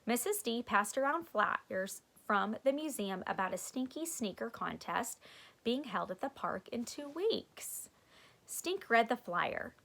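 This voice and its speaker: A woman's voice: fairly high pitch, animated, unhurried, creaky, bright, forceful tone. A woman teacher reading a children's storybook aloud, expressively, with explanatory asides for young listeners.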